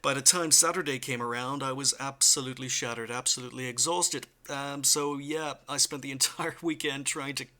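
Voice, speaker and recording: A man's voice: medium pitch, fairly even intonation, brisk, hardly any pauses, pushed and bright. A man hosting a gaming video, chatting casually with many fillers while getting over the flu. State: recovering from flu, chest infection. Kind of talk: casual gameplay commentary and history talk.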